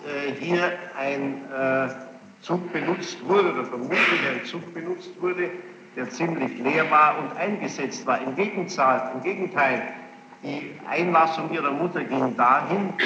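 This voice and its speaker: A man questioning a witness as a judge in court, formal and insistent, halting and repeating himself as he builds each question. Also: a little high. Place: large echoing courtroom. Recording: noisy muffled archival courtroom tape, echoing hall.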